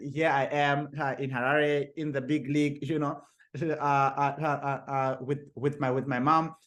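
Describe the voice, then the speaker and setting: A young man from Zimbabwe telling his story as a podcast guest. He stumbles through many fillers and repeated words and laughs often. His voice sits a little high for a man. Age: young adult. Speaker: guest author. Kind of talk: podcast interview.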